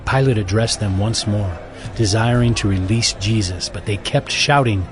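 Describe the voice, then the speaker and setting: Lowish male voice narrating bible story with music behind. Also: moderate pace. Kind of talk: scripted scripture narration.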